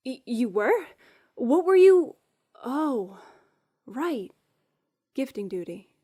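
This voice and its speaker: A woman's voice: sits high, very animated, slow, mellow, full character voice. A woman acting a practical, loyal, dry-witted young servant in a fan-made visual novel. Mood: dry, exasperated.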